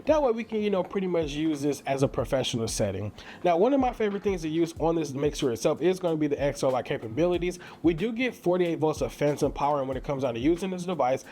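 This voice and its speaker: A man's voice: on the high side, expressive, fast talker, mellow. A man with a St. Louis American accent giving a casual, enthusiastic product review in slangy, conversational language. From St. Louis, Missouri, United States. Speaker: tech reviewer and content creator.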